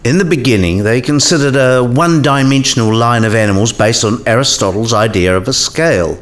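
Man acting a measured, learned professor. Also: medium pitch, lightly acted. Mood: measured, instructive. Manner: measured, didactic, precise. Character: learned male professor patiently explaining science history. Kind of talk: scripted explanatory dialogue in a radio play.

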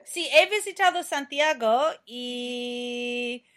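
A woman's voice: a little high, slow, a bit bright. A woman from New Mexico interviewing a guest, hesitant and encouraging. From New Mexico, USA. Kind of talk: casual conversational interview.

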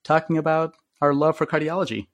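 A man's voice: fairly high pitch, flat delivery, a little fast, no room sound. A man co-hosting a podcast, moving between a scripted intro and casual chat. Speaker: podcast co-host.

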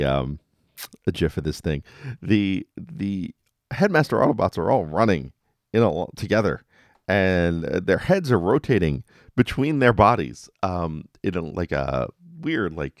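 A male podcast host recaps episodes casually in a fairly low voice. His delivery is wry and very animated, with playful dramatic emphasis, recorded dry with no room sound. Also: no room sound.

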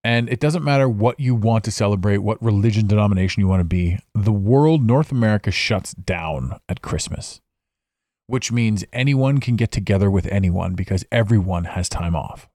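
A man's voice: on the low side, normal speed, darkish tone, dry recording. A man hosting a solo podcast from his home studio, rambling and reflective, with frequent restarts. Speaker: podcast host. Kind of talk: impromptu solo podcast monologue. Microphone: studio microphone.

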